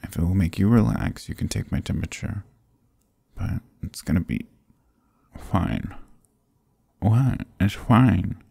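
Man acting a deep, gravelly, drowsy sick boyfriend. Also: measured pace, pauses often, dry recording, studio quality, exaggerated voice. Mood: stubborn, dismissive. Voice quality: husky, gravelly, breathy. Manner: soft, drowsy, murmuring to a partner. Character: sick young boyfriend, stubborn, affectionate, sleepy. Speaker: ASMR roleplay voice actor. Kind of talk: ASMR boyfriend roleplay, intimate close-up acting. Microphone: close, dry microphone.

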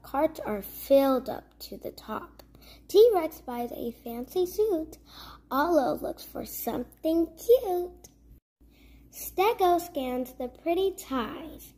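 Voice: high voice, darkish tone. A child reading a rhyming picture book aloud as a story-time host, carefully and word by word.

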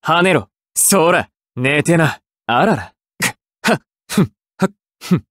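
A man's voice: a little high, animated, takes it slowly, a bit bright, no room sound, studio quality. An actor playing a cool, mocking young male assassin in an anime fighting game, with a detached, theatrical delivery. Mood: fierce exertion.

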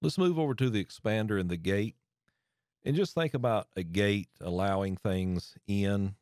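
A man in his thirties with a lowish voice walks through audio gear settings in a relaxed, chatty way, breaking off and restarting phrases as he turns knobs. He speaks into a close studio microphone at a home desk, and the sound is dry and up front. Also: moderate pace, dry recording. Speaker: audio gear tutorial host. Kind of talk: casual hands-on demonstration. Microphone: studio mic on arm close to face.

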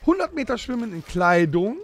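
A man's voice: high voice, big pitch swings, moderate pace. A man co-hosting a comedic improvised audio play, reading out rules with eager, insistent emphasis. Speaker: podcast co-host.

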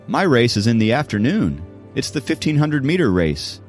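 A man's voice: mid-range voice, sing-song, moderate pace, a little mellow, faint music behind, voice pushed up, close to an everyday voice. A man voicing a proud, wry teenage schoolboy in an audiobook. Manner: wry, boastful. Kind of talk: audiobook character voice.

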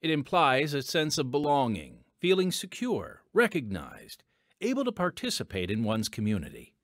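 A man's voice: mid-range voice, wildly swooping pitch, moderate pace, a bit bright, no echo, studio quality. A man hosting a podcast, delivering a scripted introduction. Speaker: podcast host. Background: music.

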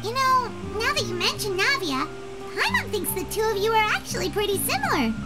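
A super high squeaky lil fairy girl game companion, bubbly n bouncy, loud music behind. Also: big pitch swings, a little fast. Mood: chatty, curious. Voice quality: squeaky childlike voice. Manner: bubbly, speaks of herself in third person. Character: tiny childlike floating fairy girl companion, chirpy and eager. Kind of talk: scripted video game voice acting.

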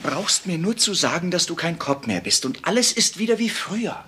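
A man's voice: high-pitched, sing-song, normal speed, bright, forceful tone, slight background noise, exaggerated voice. An actor playing a hurt, insistent boyfriend, an earnest ordinary man in a dubbed German sitcom.

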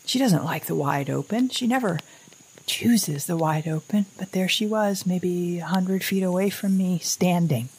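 A woman playing an anxious, wry middle-aged mother surviving after an apocalypse, in a halting, rambling audio drama monologue. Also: lowish voice, darkish tone, a little hiss or hum, poor audio. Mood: haunted, tense.

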